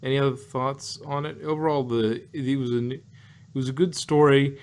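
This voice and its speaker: A man's voice: medium pitch, steady tone, moderate pace, darkish tone, slight background noise. A man co-hosting a casual review chat about an audio drama, rambling with many restarts and joking quoted asides.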